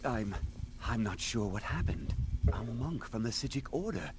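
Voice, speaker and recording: An actor playing a dignified, stern archmage of a magic college in a fantasy video game, speaking with formal authority. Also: male voice, medium pitch, animated, moderate pace, background noise, natural voice. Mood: puzzled.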